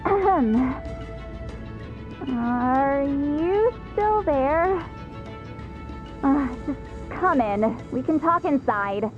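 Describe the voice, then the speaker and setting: A woman's voice: sits high, lively intonation, drawn-out words, long pauses, lo-fi sound, heavily acted. A woman voicing a proud, easily flustered young-sounding shaman in an anime game, with a haughty manner. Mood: awkward composure. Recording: music under the voice. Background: game music.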